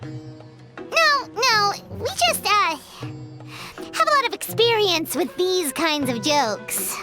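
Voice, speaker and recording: Super high squeaky little game sidekick, bossy and chirpy, loud music under it. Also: female voice, lively intonation, slow, exaggerated voice. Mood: flustered. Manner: chirpy, exclamatory, refers to self by name. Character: tiny childlike floating companion, bossy, excitable, squeaky.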